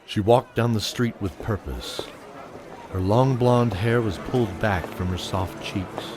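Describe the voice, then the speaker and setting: A man's voice: on the low side, measured pace, darkish tone, faint music behind. A man reading scripted, dramatic narration as the narrator and announcer of an audio drama.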